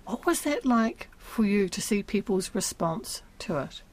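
A woman's voice: medium pitch, very animated, a little slow, a little mellow. A woman hosting a radio arts programme, presenting and interviewing. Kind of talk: broadcast arts interview with scripted introduction.

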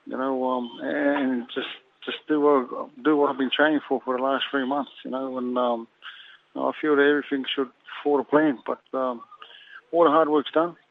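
A Samoan-born boxer being interviewed, talking haltingly and filling pauses with "you know". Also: male voice, mid-range voice, level tone. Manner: halting, leans heavily on "you know" fillers. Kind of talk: phone interview. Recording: tinny phone line.

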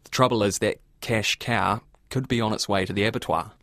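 A male reporter with a New Zealand accent delivers conversational news narration and questions. His voice is low with plenty of creak. It is recorded dry, with very little room sound. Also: fairly even intonation, fairly quick, a bit bright, no echo. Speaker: reporter and presenter.

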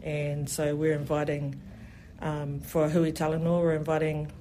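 New festival organiser, mid-pitched and enthusiastic, a rambling interview guest. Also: completely flat delivery, measured pace, mellow, a little hiss or hum. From New Zealand. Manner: enthusiastic, rambling, many "you know" fillers. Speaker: festival event specialist. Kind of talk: radio interview.

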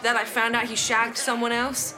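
A woman's voice: fairly high pitch, completely flat delivery, moderate pace, lightly acted, poor audio. An actress playing a betrayed, anxious but candid British bride in her twenties, in a naturalistic audio drama. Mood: wry, confessional. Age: 20s. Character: betrayed young bride, long anxious, now bluntly candid.

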